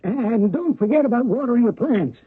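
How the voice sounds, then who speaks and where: A man's voice: very high voice, lively intonation, normal speed, husky, dry recording, exaggerated voice. An actor in an old-time radio drama playing a brusque, demanding male company boss, curtly giving orders. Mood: bossy, brisk. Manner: curt, bossy. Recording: thin, phone-like old radio recording.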